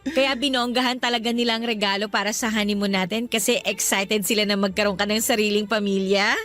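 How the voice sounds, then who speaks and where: A woman's voice: medium pitch, fairly even intonation, a little fast, a bit bright, faint music behind, natural voice. An actress playing a sweet, coy, teasing girlfriend in a Filipino radio romance, with a playful manner and Filipino accent. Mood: delighted.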